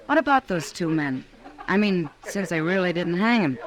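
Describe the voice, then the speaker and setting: A woman's voice: fairly low pitch, animated, normal speed, natural voice. An actress playing a worldly, concerned frontier saloon woman, frank and urgent, in 1950s radio western style. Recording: old radio, busy saloon crowd behind.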